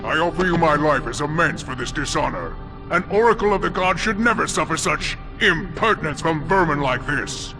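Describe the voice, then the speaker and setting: A man's voice: a little high, lively intonation, moderate pace, music in the background, low-quality recording. An actor playing a proud, devout male alien warrior commander in a video game, speaking in formal, solemn tones. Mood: furious.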